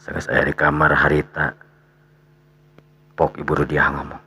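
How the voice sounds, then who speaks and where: Very deep, gravelly male storyteller. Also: completely flat delivery, a little slow, pushed and bright. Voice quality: gravelly, husky. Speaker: storyteller, narrator. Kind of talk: unhurried dramatic radio storytelling.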